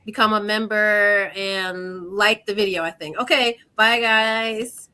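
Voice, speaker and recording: A woman's voice: mid-range voice, fairly even intonation, slow, sharp tone, clear, resonant tone. A woman hosting a live online talk show over a video call, upbeat as she thanks her guests and wraps up the show. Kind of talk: casual live-stream chat.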